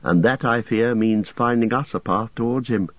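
A man voicing a grave hobbit who speaks quietly and sternly. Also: mid-range voice, moderate pace, no echo, natural voice. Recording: dull lo-fi dry recording.

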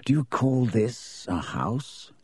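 A man voicing a haughty, sneering aristocratic villain in an audiobook, with a lazy, smooth, mock-polite drawl. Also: mid-range voice, very animated, slow, darkish tone, no echo, studio quality, heavily acted. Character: haughty aristocratic middle-aged male villain, coolly sneering.

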